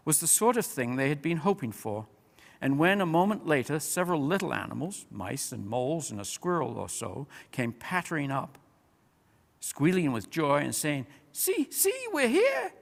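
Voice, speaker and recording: A man reading a story aloud as an expressive audiobook-style narrator. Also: medium pitch, creaky. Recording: long hall-like reverb.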